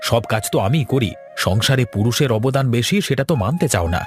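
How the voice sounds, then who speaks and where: A man's voice: medium pitch, animated, fast talker, lightly acted. A man voicing a practical, conciliatory village farmer husband in a folk-tale cartoon. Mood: placating. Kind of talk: animated cartoon voice acting. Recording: music under the voice.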